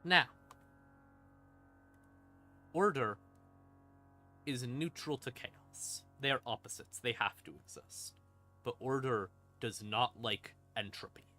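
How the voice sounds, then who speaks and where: A man hosting a stream and explaining game lore in a casual monologue, with theatrical, deliberate pauses between short phrases. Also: mid-range voice, bright, forceful tone. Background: ambient music in the background.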